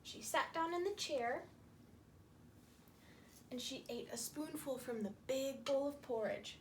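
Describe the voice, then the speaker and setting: A woman with a high voice reads a story for small children. She speaks slowly, repeating and stressing simple phrases so little listeners can follow. There is some noise behind her. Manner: slow, repetitive, emphatic, explaining to small children. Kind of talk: story time for young children, narrating with puppets.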